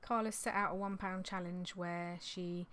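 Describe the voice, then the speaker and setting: A woman in her thirties, slightly hoarse from losing her voice, chatting in a rambling, apologetic way on a vlog, recorded in a small indoor craft room on a desktop mic. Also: lowish voice, steady tone, moderate pace, a bit bright, a little hiss or hum. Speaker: clothing reseller vlogger. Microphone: desktop condenser mic on an arm.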